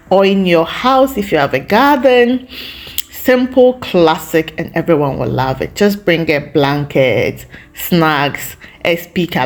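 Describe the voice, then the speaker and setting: A woman hosting a lifestyle vlog, talking casually to viewers in a reflective, encouraging way with short pauses between phrases. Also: fairly low pitch.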